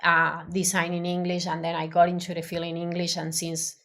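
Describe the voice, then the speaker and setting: Woman, Spanish accent, low voice, hesitant restarts, interview guest. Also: monotone, fairly quick, creaky, fairly bright tone. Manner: hesitant, many restarts and fillers, thoughtful. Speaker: design professional, podcast guest. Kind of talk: casual interview conversation.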